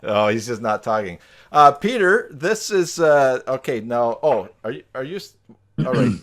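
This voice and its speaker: A man's voice: medium pitch, expressive, normal speed, a bit bright. A man hosting a casual live stream over a video call, chatting in a rambling, jokey way.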